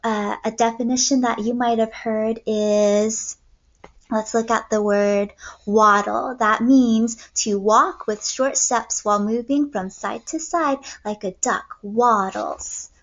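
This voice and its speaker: A young woman teacher reading aloud to kindergartners in an expressive storytelling way, recorded indoors on a computer mic. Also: fairly high pitch, takes it slowly, muffled recording. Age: young adult. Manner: expressive, clearly paced storytelling for children. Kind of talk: read-aloud lesson for young children. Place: small room at home, indoors.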